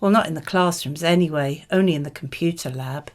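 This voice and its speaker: A woman with a slightly low-set voice reads model answers for an English speaking test. She is a native speaker and sounds conversational though scripted. Her delivery is measured and explanatory.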